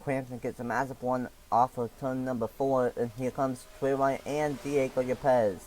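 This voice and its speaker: A young man calling a sim racing league race play by play, a little raspy from allergies, with halting delivery, frequent fillers and restarts. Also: mid-range voice, flat delivery, a little mellow, slight background noise, rough recording.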